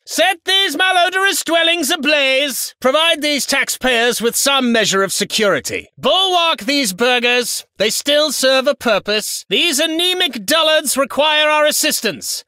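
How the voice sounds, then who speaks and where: A man's voice: very high voice, pushed and bright, no room sound, studio quality, full character voice. A man voicing a haughty, imperious medieval nobleman for a video game, pompous and theatrically enunciated. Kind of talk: video game character battle barks.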